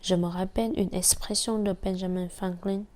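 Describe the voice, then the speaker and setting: A young woman from Vietnam, speaking French with a Vietnamese accent, reading a personal essay aloud in a measured way. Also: medium pitch. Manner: slow, even, measured reading.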